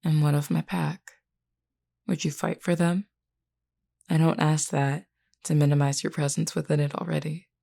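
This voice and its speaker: Woman playing a low, creaky, flirty werewolf woman. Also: mellow, heavily acted. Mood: earnest, probing. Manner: unhurried, teasing yet earnest, deliberate. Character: flirty, frank, self-assured female werewolf of a pack. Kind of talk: intimate scripted audio roleplay addressed to the listener.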